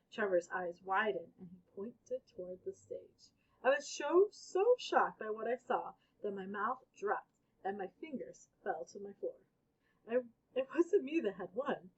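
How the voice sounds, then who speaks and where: Animated, slightly husky woman reading aloud. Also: a little high, dull audio. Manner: animated, acts out exclamations and characters' lines. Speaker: storybook reader. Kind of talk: expressive children's read-aloud with casual asides.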